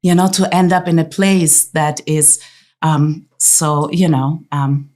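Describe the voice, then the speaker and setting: A woman from Austria, a podcast guest, telling her family's story in halting speech with many pauses. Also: sits low, fairly even intonation, warm tone. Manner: halting, many ums, laughs easily.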